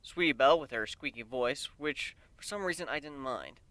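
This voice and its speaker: A man reading a story aloud in the first person as an amateur dramatic narrator, expressive and wry. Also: mid-range voice, normal speed, no echo.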